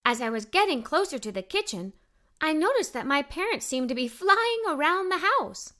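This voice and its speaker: A woman's voice: high-pitched, big pitch swings, moderate pace, pushed and bright. A woman reading a children's story aloud, expressively, as a cheerful young girl narrator. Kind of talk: scripted read-aloud storytelling for kids.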